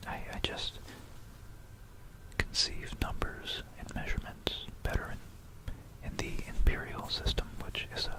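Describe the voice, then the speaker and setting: Very slow, hushed ASMR whisperer. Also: low-quality recording. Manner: hushed, informal, musing, self-correcting. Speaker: ASMR video creator. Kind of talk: whispered ASMR history talk. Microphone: close stereo binaural microphone.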